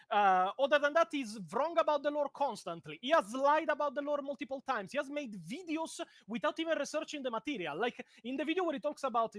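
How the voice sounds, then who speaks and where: A man's voice: extremely high pitch, fairly bright tone, dry recording, clean studio sound. A man from Italy with a strong Italian accent, talking bluntly and with profanity in a heated call. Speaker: guest commentator. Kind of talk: heated casual video-call chat. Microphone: video call.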